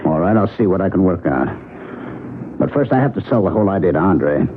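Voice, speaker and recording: An earnest, reassuring music agent coaxes in a lowish voice with plenty of rise and fall. It is old radio drama, thin and narrow in sound with music present. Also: male voice, lively intonation, a little fast, slight background noise. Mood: coaxing, earnest. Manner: earnest, coaxing, practical. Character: persuasive, reassuring male music agent, loyal friend. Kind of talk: vintage radio drama acting.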